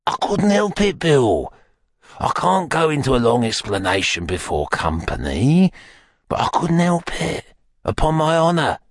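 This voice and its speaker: A wily old gang boss for an audiobook. The voice climbs high and swoops about as he wheedles and pleads, all submissive scheming, in a dry, close recording. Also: sing-song, a little slow, no echo. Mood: pleading. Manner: ingratiating, pleading. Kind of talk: audiobook character acting.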